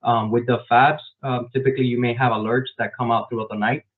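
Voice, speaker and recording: A man raised in Miami answering interview questions about his work as a software developer, explaining step by step with frequent "um" fillers, over a video call. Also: medium pitch, little pitch movement, brisk. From grew up in Miami, Florida. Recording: tinny phone-like online call.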